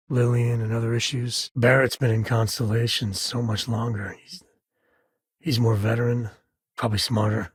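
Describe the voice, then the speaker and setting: A man voicing a rugged, good-hearted space explorer and father in a video game, with a husky rasp and a folksy, earnest way of talking. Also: medium pitch, no echo, studio quality, natural voice. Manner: folksy, colloquial, earnest delivery.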